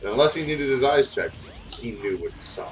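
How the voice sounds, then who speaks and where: A man's voice: medium pitch, animated, a little fast, faint music behind. A man with a casual, profane, self-deprecating way of talking, chatting and reading a story aloud during a game playthrough. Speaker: guest reading fan fiction aloud.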